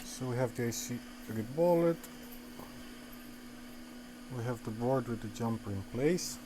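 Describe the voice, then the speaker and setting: Guy doing a casual repair tutorial, mid pitch, short halting bits as he works, noisy background. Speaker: phone repair technician giving a tutorial. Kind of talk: casual hands-on tutorial narration. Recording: clearly noisy workshop recording.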